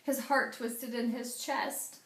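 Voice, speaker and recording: A woman reading a children's picture book aloud as a teacher, with a deliberate, dramatic storytelling delivery. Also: on the high side, fairly even intonation, fairly bright tone. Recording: echoey room.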